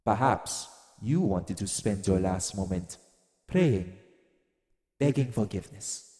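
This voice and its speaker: Man voicing a slow, menacing exiled god, cavernous reverb. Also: lowish voice, animated, mellow. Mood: taunting, amused. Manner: slow, deliberate, theatrical, taunting with chuckles. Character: exiled god of vengeance, menacing, coaxing, darkly amused. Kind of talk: dramatic audio roleplay monologue to the listener. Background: music.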